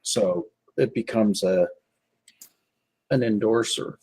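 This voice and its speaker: A man co-hosting a casual business chat with a husky, rough-edged voice, piecing his sentences together haltingly. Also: fairly low pitch, darkish tone, slight background noise. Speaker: co-host and business speaker.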